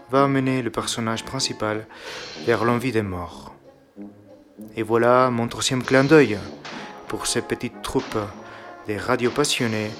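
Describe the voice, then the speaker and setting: A man's voice: medium pitch, fairly bright tone. Man narrating, music behind. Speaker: narrator of a radio essay. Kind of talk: scripted essay narration on cinema.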